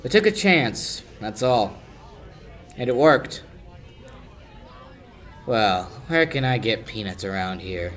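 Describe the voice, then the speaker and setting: A man's voice: on the low side, sing-song, slow pace, pauses often, fairly bright tone, full character voice. Young man playing cocky wisecracking amateur sleuth. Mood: wry, relaxed. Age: young adult. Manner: confident, needling, wry. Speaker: amateur high school actor. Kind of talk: 1940s radio detective drama acting.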